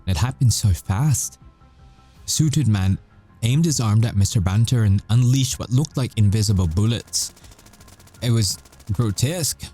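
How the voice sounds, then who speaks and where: A man playing a cocky but haunted werewolf soldier, telling his story in a wry, measured way with dramatic pauses, in an ASMR audio roleplay. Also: on the low side, mellow, faint music behind. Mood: grave, measured.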